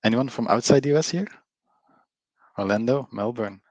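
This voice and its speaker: A man's voice: medium pitch, moderate pace, a little mellow, no room sound, lo-fi sound. Belgian-accented man giving a chatty webinar. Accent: Belgian (Flemish). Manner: chatty, engages audience, frequent fillers. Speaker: webinar presenter, company co-founder. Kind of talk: live online presentation.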